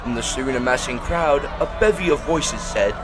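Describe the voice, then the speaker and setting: A man's voice: mid-range voice, animated, normal speed, a crowd in the background. A man narrating a fantasy audio drama in a scripted storybook style. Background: music.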